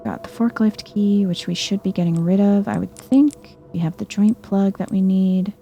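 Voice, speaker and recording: Soft-spoken woman, very dark mellow tone, creaky. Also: medium pitch, moderate pace, slight background noise. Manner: hushed, chill, thinking aloud to herself. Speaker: video game streamer. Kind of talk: soft-spoken ASMR let's play commentary.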